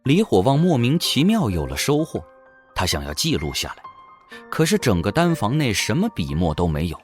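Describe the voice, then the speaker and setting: A man narrates a fantasy story in a deep voice. His scripted delivery is dramatic, with lively rises and falls. Faint music plays behind him. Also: moderate pace. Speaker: audiobook narrator.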